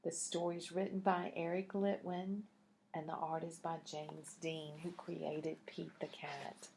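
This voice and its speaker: A woman's voice: low-pitched, level tone, takes it slowly, gravelly, darkish tone, slight background noise. A woman reading a picture book aloud to young children in a teacherly way, putting questions to the listeners.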